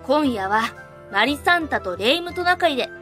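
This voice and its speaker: A woman's voice: high voice, animated, moderate pace, sharp tone, faint music behind. A woman playing a mischievous tomboyish young witch in an anime-style fan voice drama, brash and boyish in her speech. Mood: cocky, scheming.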